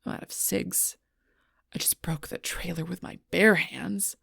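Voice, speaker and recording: A woman playing a fierce, protective alpha werewolf in an audio roleplay, bitter and confrontational, with a breathy, rough-edged voice. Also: fairly low pitch, a little slow, fairly bright tone, no echo, studio quality, exaggerated voice. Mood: bitter, irritated. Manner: intense, confrontational, swearing, often dropping to near-whisper. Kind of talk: audio roleplay acting addressed to the listener.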